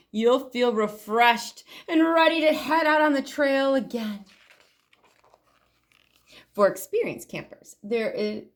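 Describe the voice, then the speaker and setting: Female storytime host, lively swooping pitch, expressive picture-book reading with chatty asides for young listeners.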